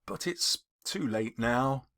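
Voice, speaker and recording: A man's voice: mid-range voice, lively intonation, slow, vocal fry, fairly bright tone, pitched below the performer's own voice, heavily acted. A man playing a humbled, remorseful old miser in an audiobook, earnest and emotional. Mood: wistful regret.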